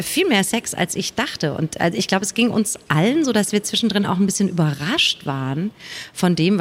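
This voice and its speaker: A woman author speaking conversationally in a panel discussion, emphatic and repeating phrases for weight. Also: fairly low pitch.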